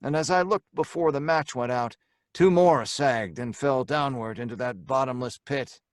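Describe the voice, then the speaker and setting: An actor playing a hard-boiled crook with an American accent, dramatically acting and narrating in old-time radio horror style. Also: male voice, mid-range voice, moderate pace, dry recording, studio quality, natural voice. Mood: tense narration. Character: Stanley, hard-boiled male crook narrating his own doom.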